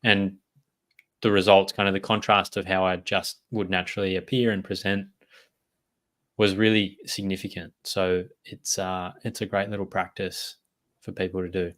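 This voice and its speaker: A man speaking as a guest in a live conversation, sharing his experience in a measured, reflective way. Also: low voice, level tone, fairly bright tone.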